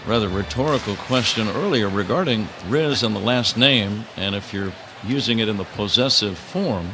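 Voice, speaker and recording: A man's voice: fairly low pitch, normal speed. A man doing live baseball play-by-play on the radio from a ballpark booth. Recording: crowd and stadium noise behind. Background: ballpark crowd and ambient noise.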